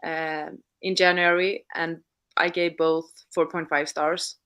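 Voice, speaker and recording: Slightly low-voiced woman chatting casually. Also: little pitch movement, slow, fairly bright tone, smooth. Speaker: co-host of a livestreamed reading sprint. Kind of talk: casual unscripted livestream chat about books.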